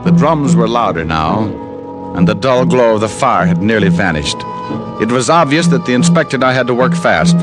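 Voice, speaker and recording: An actor playing a wry, hardboiled American private detective, narrating and wisecracking in an old radio drama. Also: male voice, mid-range voice, animated, normal speed, loud music behind the voice, natural voice. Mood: tense storytelling. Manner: dry, wisecracking.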